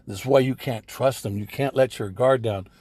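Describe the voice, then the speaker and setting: A man's voice: medium pitch, moderate pace, background noise. A man giving a blunt, profane religious commentary in a casual ranting style, swearing heavily.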